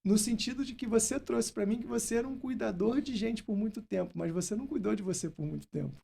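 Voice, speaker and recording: High, gravelly-voiced Brazilian man. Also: fast, dark tone. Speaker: podcast host. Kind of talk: casual conversational interview.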